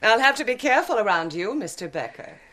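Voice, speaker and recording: A woman's voice: on the high side, big pitch swings, fast, a bit bright, slight background noise. An actress playing a poised, arch wealthy lady guest in a radio drama, with a genteel manner. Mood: arch, gracious.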